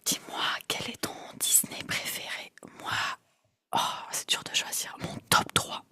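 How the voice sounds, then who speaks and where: A woman in her twenties whispering close to the mic in a chatty ASMR video, rambling and repeating trigger words softly. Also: a little slow, dry recording. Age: 20s. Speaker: ASMR creator.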